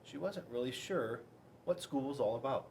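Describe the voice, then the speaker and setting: An American man reads a story to young children in a playful, rambling way. His pitch swings widely, and he pauses for effect before chatty asides. There is slight noise behind him on a homemade recording. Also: lively intonation, vocal fry, a little mellow. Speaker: school resource police officer reading to children. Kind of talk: picture-book read-aloud with chatty asides to children.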